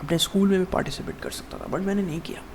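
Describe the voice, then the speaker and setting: A young man in his early twenties talks loosely to the camera with a rough, gravelly edge on his voice, sitting a touch higher than most men. He rambles, starts sentences over and keeps calling the listener "bro" as he vents about fitness. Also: racing, slight background noise. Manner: rambling, addresses viewer as "bhai" constantly, many restarts. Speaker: fitness vlogger. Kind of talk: casual to-camera monologue.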